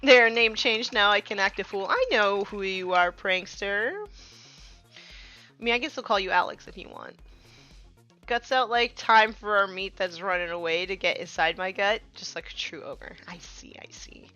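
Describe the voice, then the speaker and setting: A woman's voice: mid-range voice, moderate pace, pushed and bright, dull audio, faint music behind. A woman in her thirties chatting casually while painting miniatures on a live stream, with many fillers, sometimes trailing off to a murmur.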